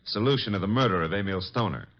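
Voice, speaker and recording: A man playing a detective's smooth-talking assistant in an old radio mystery, breezy, polite and pointed. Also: on the low side, lively intonation, fast talker, natural voice. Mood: businesslike. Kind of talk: old-time radio detective drama acting. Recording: dull lo-fi old radio recording.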